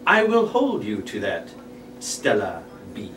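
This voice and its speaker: A man's voice: fairly low pitch, huge pitch swings, exaggerated voice. Man playing a pompous collector, roomy recording. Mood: haughty. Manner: halting, formal, haughty. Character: pompous, prim male erotica collector with a cane. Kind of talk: table read of a script. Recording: roomy, distant mic, faint noise.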